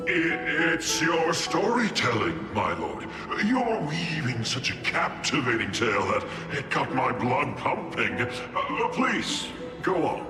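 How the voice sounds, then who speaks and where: A man's voice: mid-range voice, big pitch swings, slow pace, echoey room, music in the background. A man playing a nervous, eager, fawning listener in a video game, hesitant and deferential. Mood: flustered.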